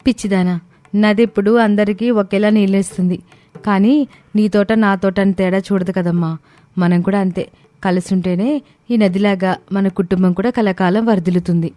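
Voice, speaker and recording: Woman playing a mellow, wise village wife. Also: medium pitch, steady tone, moderate pace, dry recording, lightly acted. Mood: gentle, forgiving. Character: generous, wise young village daughter-in-law. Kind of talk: storybook dialogue.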